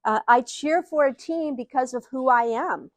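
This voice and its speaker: A woman's voice: sits high, moderate pace, no echo. A middle-aged woman from Ohio with a Midwestern accent, teaching an audience earnestly and often posing rhetorical questions. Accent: Ohio Midwestern American. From Ohio, USA. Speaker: speaker, psychologist. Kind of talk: live teaching talk to an audience.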